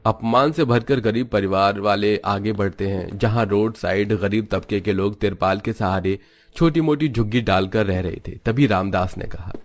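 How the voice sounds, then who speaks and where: Low-pitched male story narrator. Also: normal speed. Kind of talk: scripted narration of moral story.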